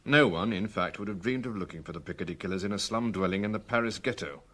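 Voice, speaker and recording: An actor playing a methodical, respectful male police superintendent, giving formal, measured reports in a British radio crime drama. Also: fairly low pitch, a bit bright. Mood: measured, factual.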